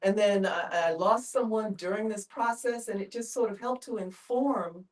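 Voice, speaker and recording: A woman with a mid-pitched voice reflects aloud among a group. Her delivery is earnest and halting, and she sounds a little way off from the microphone. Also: recorded from a distance.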